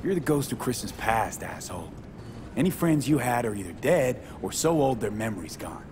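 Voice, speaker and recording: A man with an American accent playing a gruff, stubborn young mercenary in a video game, speaking in blunt, clipped sentences. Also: medium pitch, sing-song, normal speed, noisy background, lightly acted. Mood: irritated, wary. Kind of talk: cinematic video game acting.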